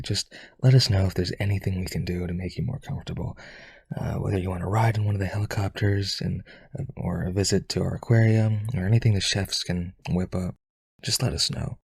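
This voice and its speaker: A man playing a lonely, rich vampire aristocrat in an audio roleplay, eager to please, hesitant and pleading, with a husky, creaky voice. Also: deep voice, a little mellow, dry recording, clean studio sound, exaggerated voice. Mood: lonely, earnest.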